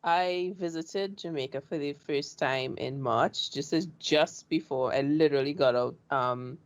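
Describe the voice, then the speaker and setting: A woman from Trinidad with a Trinidadian accent hosts the interview. She chats easily and gets animated when she tells her own stories. Her voice sits a little low for a woman. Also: unhurried, highs cut off. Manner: chatty, animated storyteller. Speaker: show host. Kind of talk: casual interview chat.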